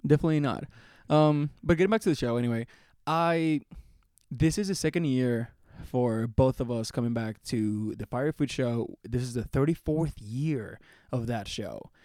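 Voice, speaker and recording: Excitable young podcast guy. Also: medium pitch, normal speed, dry recording, studio quality. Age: 20s. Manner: laughing, excitable, swearing. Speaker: podcast co-host. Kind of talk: casual chat.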